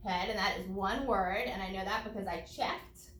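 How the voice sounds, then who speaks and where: A woman teacher reading aloud to children and explaining each step in a deliberate, instructive way. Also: medium pitch, fairly bright tone. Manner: deliberate, explanatory, spelling out steps for young pupils. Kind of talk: children's read-aloud lesson with think-aloud commentary. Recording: roomy echo, distant from microphone.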